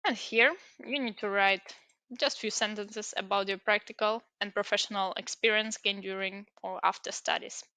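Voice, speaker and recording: A woman's voice: mid-range voice, steady tone, normal speed, sharp tone, clean tone, dry recording. A young woman, a native Ukrainian speaker, talking through an online application step by step, with frequent hesitations and fillers. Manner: explaining step by step with many fillers. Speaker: tutorial presenter. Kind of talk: screen-recorded application walkthrough.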